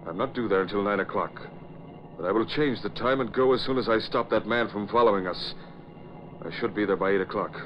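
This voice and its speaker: An actor playing a cold Nazi spy chief in a 1940s radio drama, with a German accent and a clipped, formal, commanding manner. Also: male voice, fairly low pitch, a little fast, a little hiss or hum, close to an everyday voice. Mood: cold, wary. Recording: dull old radio recording.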